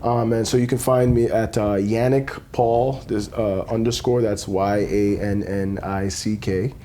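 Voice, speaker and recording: Mellow low-voiced man from Jamaica. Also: fairly even intonation, slight background noise. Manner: measured, explanatory, many ums. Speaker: podcast guest. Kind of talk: casual conversational podcast. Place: home, after dinner.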